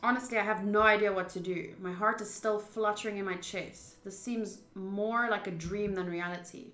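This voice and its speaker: A young woman with an expressive, chatty manner, reading a visual novel aloud and commenting as she plays. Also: medium pitch, moderate pace. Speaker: streamer playing a visual novel. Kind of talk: gaming commentary, reading story text aloud. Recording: roomy, echoing voice.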